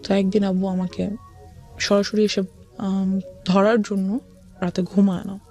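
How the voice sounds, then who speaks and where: A woman's voice: medium pitch, little pitch movement, unhurried, warm tone. A young woman with a Bangladeshi accent telling personal life experiences as a guest, often slipping into English. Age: young adult. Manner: code-switches often between Bengali and English. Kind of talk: confessional personal storytelling, mixing in English. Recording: music under the voice. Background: background music.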